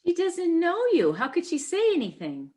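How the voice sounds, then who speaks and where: A woman in a comedy reading, playing a brisk, chirpy, reassuring friend who manages a matchmaking. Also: very high-pitched, huge pitch swings, darkish tone, full character voice. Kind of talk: comedy readers theater.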